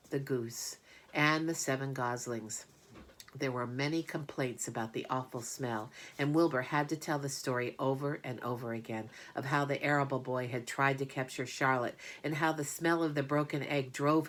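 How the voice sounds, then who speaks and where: A woman narrating a children's book in an expressive read-aloud manner. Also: rumbling low. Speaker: storybook narrator.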